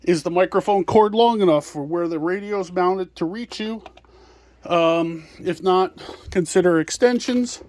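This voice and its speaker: A man presenting products in an informal step-by-step tutorial, explaining in a practical, somewhat halting way. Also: high-pitched, a little mellow. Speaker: product presenter and small business owner.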